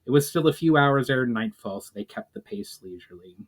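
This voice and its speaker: A man reads a fantasy novel aloud as narrator, at a medium pitch. The delivery is a dramatic, scripted storytelling style. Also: animated, fairly quick. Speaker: author reading a book chapter aloud.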